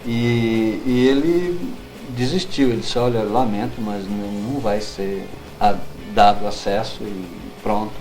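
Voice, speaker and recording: A middle-aged man with a Brazilian accent, reminiscing as an interview guest in an unhurried, halting way, searching for his words. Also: fairly low pitch, darkish tone, soft background music, low-quality recording. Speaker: guest writer.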